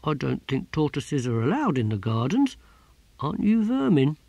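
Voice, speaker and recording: A man's voice: on the high side, sing-song, moderate pace, gravelly, darkish tone, dry recording, exaggerated voice. A man voicing a simple, timid young novice gardener who asks hesitant, bewildered questions. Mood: curious.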